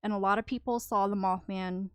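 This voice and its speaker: A woman co-hosting a podcast, earnestly walking through a story in a casual chat. Her voice is smooth and clean, recorded close and dry. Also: mid-range voice, fairly even intonation, brisk, no room sound. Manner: explains, recounts stories earnestly. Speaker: podcast co-host.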